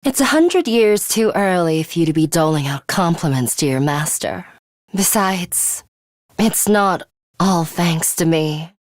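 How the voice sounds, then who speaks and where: An actress playing a proud, imperious young woman in a dramatic video game, haughty and deliberate, with a fatalistic streak and a hidden caring side. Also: on the low side, animated, no echo, clean studio sound. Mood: proud, softened. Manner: haughty, deliberate, breathy delivery with hushed drops.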